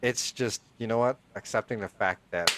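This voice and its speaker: A man's voice: fairly low pitch, moderate pace, fairly bright tone, a little hiss or hum. A man in his forties from the Philippines, co-hosting a podcast in a casual, rambling way with many restarts. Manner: rambling, restarts, laughs often. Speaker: podcast co-host.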